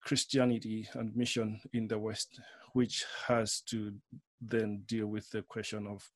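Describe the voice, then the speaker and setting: A middle-aged man with a Malawian accent, giving a personal account as an interview guest in a thoughtful, halting way. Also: lowish voice, level tone, dry recording. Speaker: interview guest, theology lecturer.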